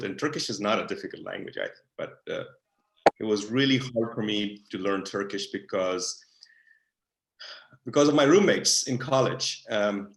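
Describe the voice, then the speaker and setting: Male diplomat, fairly low register, measured and searching, recorded with room echo. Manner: thoughtful, with frequent fillers and restarts. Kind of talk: answering audience questions. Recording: roomy echo.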